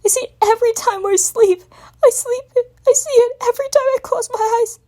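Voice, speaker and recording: Woman acting a shrill, sobbing young boy dragon. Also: brisk, dark tone. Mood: frantic guilt. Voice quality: strained, creaking, tearful. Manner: stammering, gasping, broken phrases. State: crying. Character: young male dragon, sobbing, guilt-stricken and terrified.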